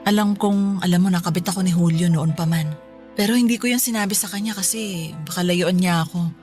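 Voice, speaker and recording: A woman's voice: fairly low pitch, fairly quick, darkish tone, faint music behind, lightly acted. A woman acting a sly, brazen young mistress. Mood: smug, defiant. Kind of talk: Filipino radio drama acting.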